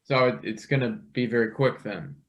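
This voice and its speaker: A man's voice: medium pitch, moderate pace, dull audio, close to an everyday voice. A man playing a nervous but cheeky new intern in a live play reading, with a hesitant, awkward delivery. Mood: wary, wry. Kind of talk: live table read of a satirical play.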